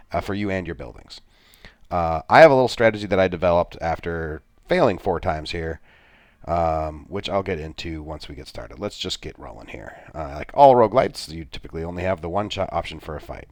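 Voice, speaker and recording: A soft-spoken middle-aged man giving a rambling, self-deprecating game walkthrough, full of filler sounds. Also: low voice, no room sound, clean studio sound. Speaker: gaming video creator. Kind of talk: gameplay walkthrough commentary.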